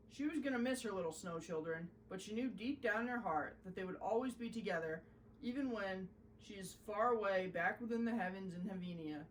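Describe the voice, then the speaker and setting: A woman narrating a children's storybook in an expressive, deliberate storytelling manner. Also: medium pitch, recorded from a distance, a little hiss or hum, low-quality recording. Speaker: host reading a children's story.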